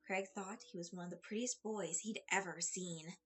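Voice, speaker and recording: A woman reading a story aloud in an expressive audiobook style, with a slightly husky voice. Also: fairly low pitch, moderate pace. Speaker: fan fiction reader. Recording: music under the voice. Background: background music.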